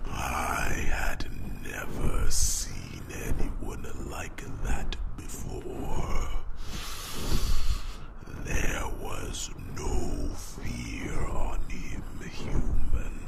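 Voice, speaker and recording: Very deep, gravelly, whispering dragon. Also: male voice, lively intonation, exaggerated voice. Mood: solemn, brooding. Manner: slow, halting, grave. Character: weary, solemn, hunted male dragon. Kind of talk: ASMR fantasy roleplay.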